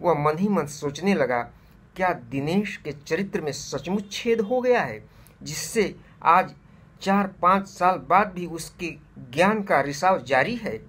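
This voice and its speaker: Male narrator, children's moral story, unhurried measured reading, slightly high pitch. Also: lively intonation, fairly bright tone, breathy edge.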